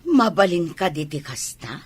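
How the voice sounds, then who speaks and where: A woman's voice: lowish voice, very animated, unhurried, husky, no room sound, full character voice. A woman with an Ilocano Filipino accent playing a protective, proud middle-aged mother in an audio drama. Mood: concerned. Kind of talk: amateur audio drama acting.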